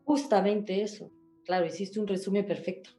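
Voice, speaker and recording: A woman's voice: medium pitch, fairly even intonation, moderate pace, dark tone, echoey room, soft background music. A woman talks casually as an interview guest, in a chatty, rambling way, often checking back with the listener. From Ecuador.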